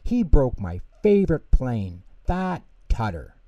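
A man's voice: medium pitch, huge pitch swings, takes it slowly, dark tone, dry recording, highs cut off, pitched above the performer's own voice. A man voicing a young female bear cub in a children's storybook, hot-tempered, indignant and complaining. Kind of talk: children's storybook character reading.

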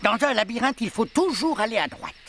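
A man's voice: very high-pitched, expressive, brisk, fairly bright tone, a little hiss or hum, heavily acted. A man voicing a bookish, know-it-all little male reptile in a children's cartoon, with a pedantic manner. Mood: pedantic, unsure.

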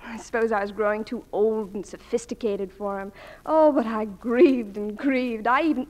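Breezy affectionate college girl played by an actress, high voice, old timey radio drama. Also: moderate pace, darkish tone, close to an everyday voice. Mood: curious, teasing. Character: breezy, affectionate eighteen-year-old university student daughter. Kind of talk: 1940s-style radio play acting.

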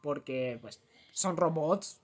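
High-voiced young Latin American man, rambling. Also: takes it slowly, a little hiss or hum. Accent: Latin American Spanish. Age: teens to early twenties. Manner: animated, rambling, self-deprecating. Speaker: YouTuber reacting to a fan dub. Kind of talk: casual chat to camera. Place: small cluttered room at home.

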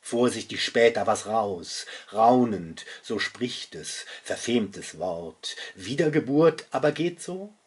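A middle-aged man reciting his own literary poetry in a solemn, dramatic and deliberate manner. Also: lowish voice, animated, breathy edge. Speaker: poet reading own work.

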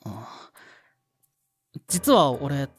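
A man's voice: mid-range voice, big pitch swings, very slow, slightly rough, heavily acted. A young man playing a timid, earnest junior sound worker in an audio drama, polite, hesitant and easily flustered. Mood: earnest, tentative. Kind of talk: audio drama acting with inner monologue. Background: background music.

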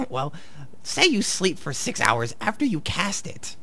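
A woman's voice: mid-range voice, huge pitch swings, takes it slowly, bright, forceful tone, exaggerated voice. Woman as a wildly swooping teenage game master. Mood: exasperated, amused. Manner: theatrical, teasing, easily exasperated. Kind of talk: live radio play acting.

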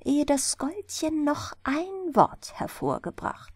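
Langsam und lebhaft vorlesende Frau. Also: female voice, high voice, slow pace, no room sound. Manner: expressive storytelling. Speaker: audiobook narrator. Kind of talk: bedtime fairy-tale reading.

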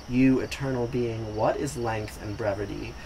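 A young man voicing a grave old male sage who speaks in a calm, commanding, measured way. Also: medium pitch, a little hiss or hum, lightly acted, rough recording. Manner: measured, declaiming.

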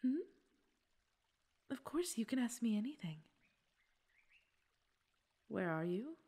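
A woman playing a gentle, coaxing fey queen with secret possessiveness in an ASMR roleplay, speaking breathily and formally, often near a whisper. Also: a little high, big pitch swings, husky, full character voice. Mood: sweet, gracious. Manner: slow, soothing, slightly formal speech. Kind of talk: ASMR roleplay audio drama.

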